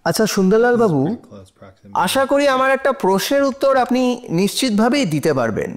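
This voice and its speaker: A man playing a shrewd, composed defense lawyer in an audio drama, questioning deliberately with pauses for effect. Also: extremely high pitch, big pitch swings. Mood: probing, composed. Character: shrewd male defense lawyer cross-examining in court, probing, composed. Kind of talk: audio drama courtroom acting.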